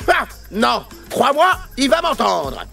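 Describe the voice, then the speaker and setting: Casting: cheeky cartoon trickster, high-pitched, bouncy intonation, witty and playful. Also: male voice, wildly swooping pitch, slow, vocal fry, sharp tone, soft background music, exaggerated voice. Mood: indignant. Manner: playful, bantering. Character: cheeky, quick-witted folk trickster, adult man. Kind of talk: French children's cartoon voice acting.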